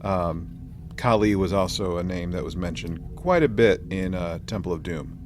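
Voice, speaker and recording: A man's voice: sits low, normal speed. A man co-hosting a podcast in a casual, laid-back chat. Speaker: podcast co-host. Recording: clear background noise throughout.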